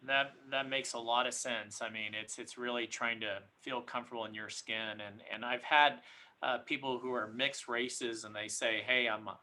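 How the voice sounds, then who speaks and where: A man's voice: medium pitch, fairly even intonation, normal speed, sharp tone. A man moderating a panel discussion, affirming, with frequent stammering restarts.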